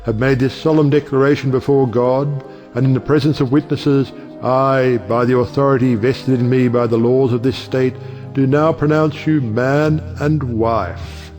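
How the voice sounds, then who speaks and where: A man's voice: mid-range voice, steady tone, normal speed, darkish tone, soft background music, natural voice. A man playing a solemn, commanding judge in an audio play, declaiming with urgency. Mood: solemn, declamatory. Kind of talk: audio stage play acting.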